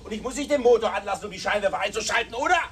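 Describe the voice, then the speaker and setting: An actor playing a crude, irritable, bullying pimp in a radio crime drama, impatient and swearing. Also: male voice, high-pitched, lively intonation, very fast, a bit bright. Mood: irritated, abusive.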